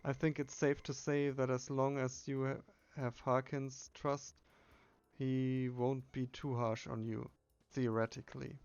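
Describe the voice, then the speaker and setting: Male gamer reading text aloud casually, unhurried creaky delivery, slightly muffled home recording. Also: mid-range voice, little pitch movement, darkish tone. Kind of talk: casual game playthrough with read-aloud dialogue.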